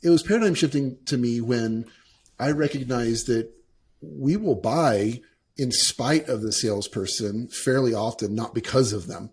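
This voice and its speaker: A man's voice: medium pitch, unhurried, a little mellow. Earnest male podcast host. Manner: earnest, repeats words while forming thoughts. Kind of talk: casual interview conversation.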